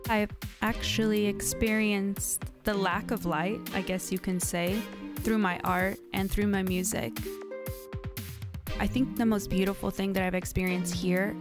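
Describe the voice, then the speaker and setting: A young woman from Southern California narrates her own story in a calm, reflective documentary voice-over. Her pitch is ordinary for a woman, and music plays clearly underneath her. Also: a little slow. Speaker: musician and chef.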